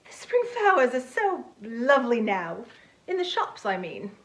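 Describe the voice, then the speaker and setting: A woman acts out a genteel, prim lady in an audio drama of a novel. Her voice is high and very mobile in pitch, polite and slightly flustered. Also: big pitch swings, a little slow, fairly bright tone, heavily acted.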